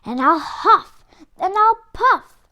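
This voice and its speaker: Menacing storybook wolf, woman reader, lean and hungry, coaxing then blustering, with big swings in pitch. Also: expressive, slow pace, sharp tone, lo-fi sound, full character voice. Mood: blustering threat. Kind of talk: children's storybook read-aloud.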